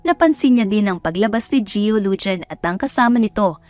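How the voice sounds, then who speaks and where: A woman's voice: mid-range voice, expressive, normal speed, a little mellow. A woman with a Filipino accent reading scripted romance story narration. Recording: dry voice over background music. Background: music.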